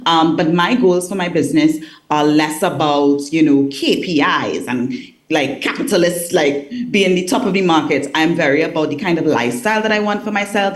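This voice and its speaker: A woman from Trinidad and Tobago, a business owner, answering interview questions over a video call in an articulate way and laughing easily. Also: sits low. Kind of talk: remote interview.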